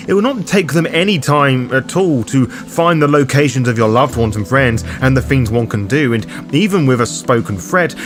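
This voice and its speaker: A man delivers scripted narration for a roleplaying lore guide, with music clearly playing behind him. His pitch sits in the middle range for a man. The wording is formal and ornate, spoken directly to the listener. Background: background music under the voice.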